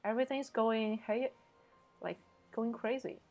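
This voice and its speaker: A woman's voice: on the high side, fairly even intonation, a little slow, darkish tone, faint music behind. A young woman from Beijing, chatting casually with viewers on a game stream and often using "like" as a filler. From Beijing, China. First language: Mandarin Chinese. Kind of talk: casual live-stream chat.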